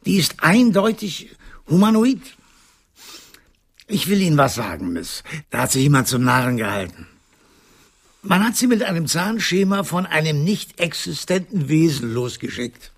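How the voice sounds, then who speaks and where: An actor playing a gruff, self-assured veterinary dentist in a radio play, speaking dryly and deliberately with a gravelly, husky voice. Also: male voice, fairly high pitch, sing-song, heavily acted. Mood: pondering, emphatic. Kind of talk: 1990s German radio play acting.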